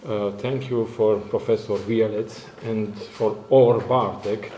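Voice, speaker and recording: A male academic speaks slowly in a mellow voice with a Romanian accent, presenting formally in a second language. His delivery is very halting, with frequent searching for words. The room is noticeably echoey. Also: lowish voice, fairly even intonation. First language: not English, which is a fourth working language. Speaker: academic conference speaker, university lecturer. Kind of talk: formal conference presentation in non-native English. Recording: echoey room, faint background noise.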